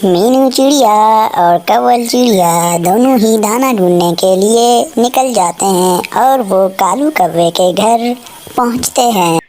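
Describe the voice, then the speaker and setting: A woman narrating a cartoon story in a steady storytelling manner. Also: medium pitch, slow, slight background noise.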